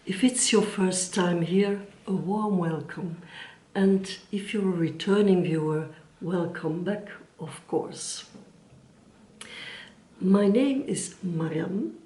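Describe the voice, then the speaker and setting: A woman from Belgium whose first language is Dutch, speaking English with a Flemish accent and hosting her craft channel, gentle and sometimes searching for her words. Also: lowish voice, reverberant. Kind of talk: casual chat to camera.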